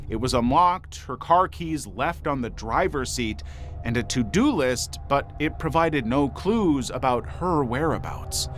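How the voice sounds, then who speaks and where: A man's voice: on the high side, fairly bright tone. A man reading scripted true crime narration as the narrator of a video. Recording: music bed under the voice. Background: background music.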